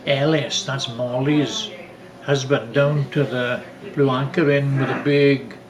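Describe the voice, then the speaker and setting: An older man with a Welsh accent tells family stories casually, often halting to search for words and repeating phrases. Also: medium pitch, fairly even intonation, a crowd in the background, rough recording. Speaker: father telling family stories. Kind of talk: casual reminiscing storytelling.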